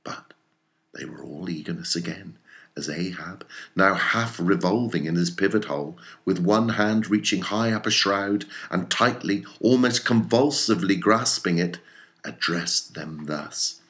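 A man narrating a literary audiobook in a measured, deliberate and theatrical manner. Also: low-pitched, a bit bright.

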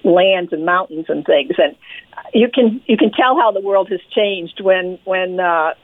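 A woman's voice: on the low side, measured pace, no room sound. An older woman with a Maine accent, a nonprofit president speaking as a guest in a casual radio interview, friendly and given to repeating words. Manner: friendly, repeats words and says "yep" often. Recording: tinny phone line, dry.

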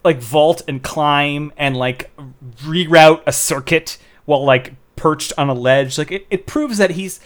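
A man's voice: on the high side, unhurried. A young man co-hosting a podcast, chatting casually about a film, restarting sentences and piling up "like"s as he thinks aloud. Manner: rambling, restarts sentences, many "like"s. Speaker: podcast co-host. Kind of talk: casual movie review chat.

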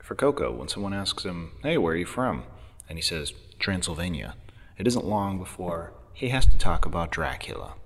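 A man narrating a podcast episode in a measured, wry storytelling way. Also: sits low, sing-song, in a large hall. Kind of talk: scripted narration.

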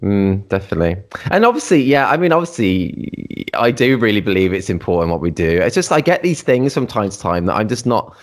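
Man, interview host, low voice, lively pitch, gushing. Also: a little fast. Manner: effusive, sighing, self-deprecating. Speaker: podcast host. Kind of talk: casual interview conversation.

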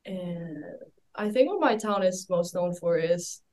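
A young woman from Iceland, a college basketball player, answering interview questions softly and often trailing off quietly. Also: fairly low pitch, lively intonation, a little slow, slight background noise. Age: young adult.

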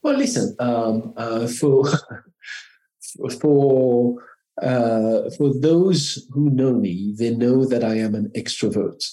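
A middle-aged man from France speaks with a clear French accent, giving unhurried, halting answers full of restarts. His tone is mellow and low-key, and the recording has a noticeably roomy echo. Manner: measured, halting, with frequent restarts. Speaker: marketing executive guest. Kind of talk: reflective interview answers.

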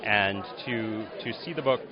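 Thoughtful man pausing with many ums. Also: fairly low pitch, over music. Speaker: author. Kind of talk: interview at an awards dinner. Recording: dull audio in a noisy crowd.

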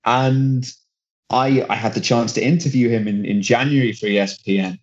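A man's voice: mid-range voice, fairly even intonation, moderate pace, highs cut off. A man working as a football reporter, chatting casually on a podcast, enthusiastic and rambling, often restarting his sentences. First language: not German; German is his second language.

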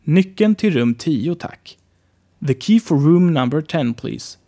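A man teaching a casual spoken language lesson, relaxed and chatty, repeating phrases slowly. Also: fairly high pitch.

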